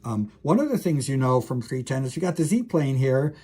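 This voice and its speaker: A man's voice: medium pitch, sing-song, fairly quick, warm tone, breathy edge. A man lecturing as a professor, explaining technical material informally, thinking aloud with frequent hesitations and self-corrections and speaking directly to the listener.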